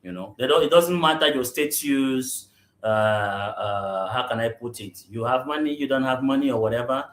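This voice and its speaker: A man's voice: mid-range voice, moderate pace, fairly bright tone. A man from Cameroon, a real estate general manager, answering interview questions, often checking "you understand?" and restarting his sentences. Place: remote call into a live podcast. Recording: roomy echo.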